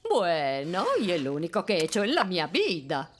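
A woman's voice: lowish voice, very animated, moderate pace, a little hiss or hum. A woman voicing an exuberant, exclaiming café owner in a dubbed cartoon. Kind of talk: cartoon dubbing.

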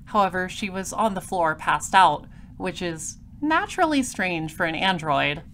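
Woman playing space cop detective narrating audio drama, lowish voice, dry n composed, slight background noise. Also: expressive, unhurried, fairly bright tone. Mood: matter-of-fact. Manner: composed, dry, matter-of-fact. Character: composed, droll female space patrol detective.

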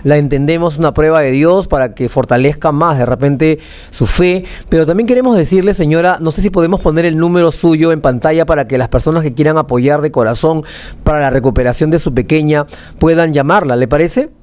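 A man's voice: a little high, fairly quick, darkish tone. A young man with a Peruvian accent presenting live news and interviewing, emphatic and given to repeating phrases, in a TV studio. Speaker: news anchor. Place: small TV news studio, seated at desk.

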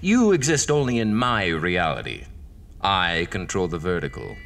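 An actor playing a smug, all-knowing horror show announcer in a theatrical, pompous manner. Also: male voice, low-pitched, sing-song, normal speed, creaky, fairly bright tone, slight background noise, full character voice. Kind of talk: horror anthology radio announcing.

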